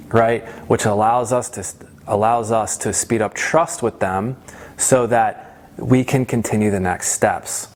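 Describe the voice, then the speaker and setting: Man teaching like a sales trainer. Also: on the low side. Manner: conversational, emphatic, checks in with "right?" and "okay?". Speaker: sales trainer presenting a course. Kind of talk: instructional presentation with role-played example lines.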